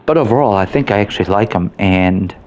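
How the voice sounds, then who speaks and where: A man's voice: on the low side, lively intonation, a little fast, a little mellow, faint music behind. A man giving casual, chatty, rambling commentary as he plays through a video game. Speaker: gaming video host and reviewer. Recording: faint background game noise. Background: video game ambience.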